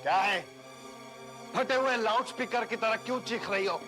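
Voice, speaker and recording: A henpecked husband, played by a male actor, speaks in a high, overwrought voice as he strains to be manly. The delivery is theatrical sitcom comedy, over very loud music. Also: moderate pace, lightly acted. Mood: blustering, bossy. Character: meek henpecked husband trying to act manly. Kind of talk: broad Indian TV sitcom acting.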